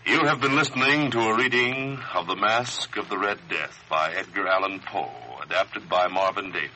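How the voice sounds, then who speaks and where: A man announcing a scripted broadcast program in a measured, formal way. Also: low voice, pushed and bright, a little hiss or hum, poor audio. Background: music.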